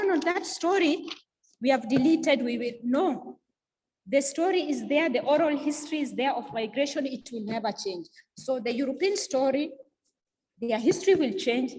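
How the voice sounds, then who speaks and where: A woman's voice: high voice, darkish tone, in a large hall, a little hiss or hum, rough recording. A woman giving an earnest, impassioned talk, repeating and restarting her words for emphasis. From East Africa. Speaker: speaker giving a talk on history. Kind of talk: impassioned informal lecture.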